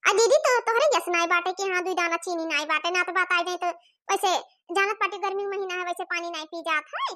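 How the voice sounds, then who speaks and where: A woman voicing a showy, sharp-tongued village mother in a comic cartoon, with an Awadhi accent and a complaining, needling manner. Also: very high voice, fast talker. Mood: scornful.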